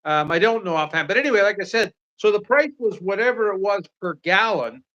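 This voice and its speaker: A man's voice: high-pitched, expressive, brisk, fairly bright tone, muffled recording. A man in his sixties from Canada, chatting casually as livestream co-host, rambling with many fillers and restarts. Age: 60s. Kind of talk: casual chat.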